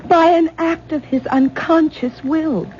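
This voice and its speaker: A woman playing an anxious, exasperated wife in a 1970s radio drama, halting and repeating words as she insists. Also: high-pitched, darkish tone. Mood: earnest, uneasy. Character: anxious, exasperated wife and young mother, adult daughter. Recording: dull, noisy 1970s radio broadcast recording.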